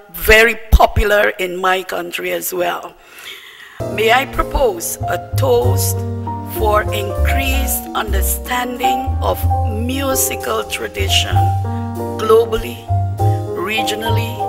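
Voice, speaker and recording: Dutch-accented guy giving a formal dinner toast, high gravelly voice, big echoey hall. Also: bright, forceful tone. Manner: measured, with asides to the audience. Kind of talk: formal after-dinner speech. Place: large banquet hall. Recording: long hall reverb, faint music.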